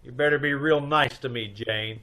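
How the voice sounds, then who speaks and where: A man's voice: mid-range voice, moderate pace, bright, forceful tone, natural voice, poor audio. A man playing a wry, cool private detective with a dry, teasing manner, in an old-time radio mystery. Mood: cool, bluffing.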